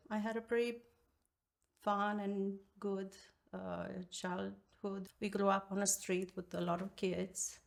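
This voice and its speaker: A woman in her fifties from Romania with a Romanian accent, telling her life story as an interview guest in a halting, reflective way with many pauses. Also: on the low side, vocal fry.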